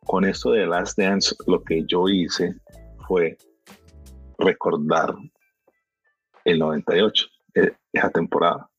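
A man's voice: low voice, level tone, takes it slowly, pauses often, husky, no room sound, muffled recording, faint music behind. A man chatting casually in a laid-back, slangy way, often hesitating and restarting. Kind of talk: casual chat.

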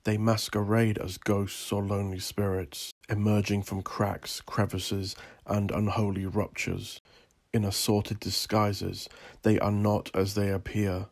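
A man who is an author and podcast host, reading a scripted piece in a measured, solemn, dramatic manner. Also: lowish voice, level tone, dry recording. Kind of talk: scripted dramatic gothic narration and podcast sign-off.

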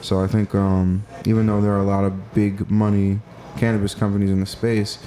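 A man's voice: fairly low pitch, level tone, fairly quick, mellow, a little hiss or hum. Laid-back slangy male podcast co-host. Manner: laid-back slangy banter with frequent laughs. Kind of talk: casual interview chat.

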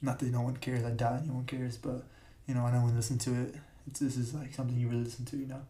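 Raspy young man rambling on his podcast. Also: medium pitch, little pitch movement, fast, dark tone. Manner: rambling, filler-heavy, self-correcting. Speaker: podcast host. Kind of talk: casual solo podcast talk. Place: large echoey room. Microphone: podcast mic.

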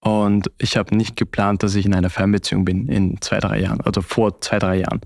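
A man's voice: lowish voice, flat delivery, fairly quick, vocal fry, darkish tone, dry recording, studio quality. A young man with an Austrian accent, talking reflectively and conversationally as an interview guest. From Austria (Vorarlberg).

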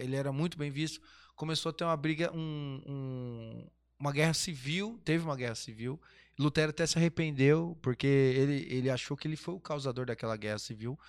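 A man's voice: medium pitch, clear, resonant tone. A man telling history, rambling and restarting, asking himself rhetorical questions. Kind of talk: casual history chat.

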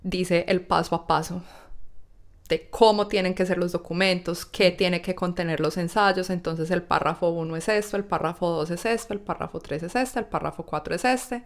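A woman's voice: fairly low pitch, steady tone, moderate pace. A young adult woman, a podcast guest in casual conversation, earnestly explaining things step by step, recorded in an apartment. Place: apartment living room.

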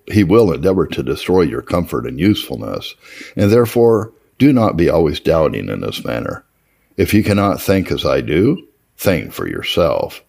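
A man with a low-pitched, creaky voice narrates a historical first-person account. His delivery is formal and measured, with lively rises and falls in pitch that give the old prose expression. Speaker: audiobook-style reader of historical religious texts. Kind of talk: scripted narration, reading an old first-person memoir aloud.

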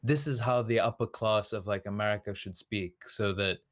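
A man's voice: fairly low pitch, steady tone, moderate pace, no room sound, clean studio sound. A young man from Australia with a light Australian accent, a native Serbian speaker, chatting casually as a podcast guest with many "like" fillers. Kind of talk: casual conversation.

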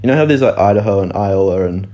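Low, mellow-voiced deadpan young guy. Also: fast talker. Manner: dry, deadpan jokes. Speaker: podcast co-host. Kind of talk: casual chat.

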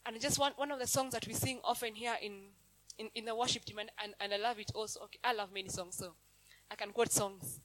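A woman's voice: fairly high pitch, fairly even intonation, fast talker, a bit bright, no room sound, poor audio. A woman preaching a sermon in an emphatic, repetitive cadence.

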